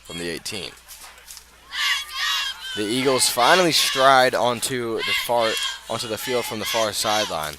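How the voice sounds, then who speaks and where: A man calling live American football play-by-play for radio, moving between measured narration and raised, excited calls. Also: fairly low pitch, a loud crowd. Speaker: play-by-play sports commentator. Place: outdoors at a high school football field. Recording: noisy outdoor stadium, live broadcast.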